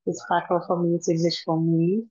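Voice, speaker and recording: A woman's voice: lowish voice, flat delivery, fairly quick, warm tone, breathy edge, no echo, dull audio. A woman from Jamaica with a Jamaican accent, speaking steadily as a meeting attendee. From Kingston, Jamaica.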